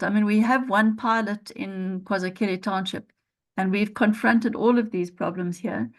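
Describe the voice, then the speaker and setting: A woman, the report's author, answering respondents earnestly at a panel and often restarting her phrases. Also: mid-range voice.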